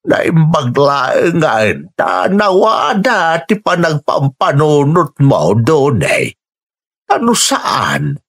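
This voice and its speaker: A man acting a concerned, kindly old grandfather with a husky voice in a radio drama. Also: a little high, wildly swooping pitch, slow pace, a bit bright, exaggerated voice. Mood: kindly, advising.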